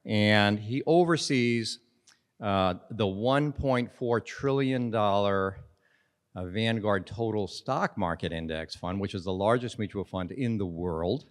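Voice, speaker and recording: A man's voice: lowish voice, measured pace. A middle-aged man hosting an onstage interview, introducing the guest and joking with the audience. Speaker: interviewer, host. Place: large conference hall with audience. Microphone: handheld mic. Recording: reverberant conference hall.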